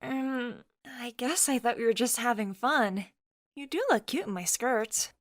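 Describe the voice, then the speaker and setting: A woman performs a young woman in a visual novel story, playful and excitable, the loyal best friend who teases. Her voice jumps high and expressive, and it is recorded very dry. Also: unhurried, no echo, lightly acted. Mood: flustered.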